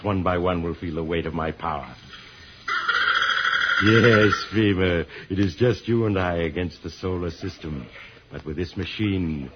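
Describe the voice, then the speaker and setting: An actor playing a gloating megalomaniac space villain in an old-time radio serial, pompous, menacing and theatrical. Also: male voice, deep voice. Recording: muffled, hissy old radio recording.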